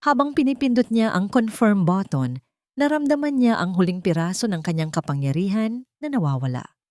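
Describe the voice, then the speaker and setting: A woman's voice: medium pitch, lively intonation, normal speed, darkish tone, dry recording, studio quality. A woman reading scripted narration in a dramatic storytelling style.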